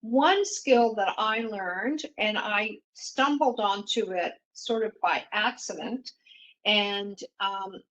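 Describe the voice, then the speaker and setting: A middle-aged woman from Mexico, a native Spanish speaker, speaking as a panelist in a thoughtful, measured way with restarts. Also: medium pitch, fairly bright tone, low-quality recording. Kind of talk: panel discussion.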